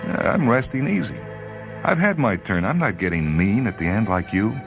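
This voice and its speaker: A man's voice: lowish voice, animated, moderate pace, gravelly, music in the background, pitched above the performer's own voice. A man voicing a carefree, boastful spaceman facing death, folksy and a little indignant. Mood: calm, resigned. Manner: folksy, faltering when challenged.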